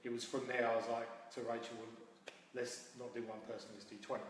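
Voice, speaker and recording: A man's voice: mid-range voice, level tone, brisk. Echoey man, middle-aged coach. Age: 40s. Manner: informal, anecdotal storytelling. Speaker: guest, MMA coach and founder. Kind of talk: casual interview answers. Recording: very echoey hall, distant mic, faint noise.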